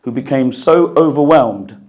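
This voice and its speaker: A man with a croaky, strained voice speaks to an audience at a book launch, then reads an excerpt, earnest and reflective, with the odd cough. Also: mid-range voice, expressive, normal speed, darkish tone. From United Kingdom. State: croaky voice, recovering from losing it. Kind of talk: talk and reading from his book. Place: hall at a gathering, in front of an audience. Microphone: handheld microphone.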